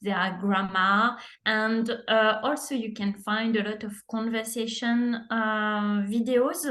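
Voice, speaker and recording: A woman's voice: on the high side, little pitch movement, slow, fairly bright tone. A woman with a French accent, a French tutor speaking as a guest on a video call interview, hesitant and full of fillers. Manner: hesitant, many fillers, laughs often.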